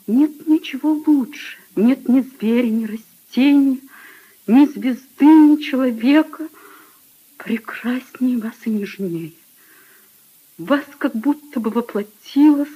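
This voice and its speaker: A tender young noblewoman in grief, speaking slowly in a soft, dark, breathy voice. Her delivery is hushed and emotional, in the manner of old Soviet radio drama. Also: a little high, low-quality recording. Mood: rapt, adoring. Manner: hushed, drawn-out, emotional delivery, often breaking into whispers.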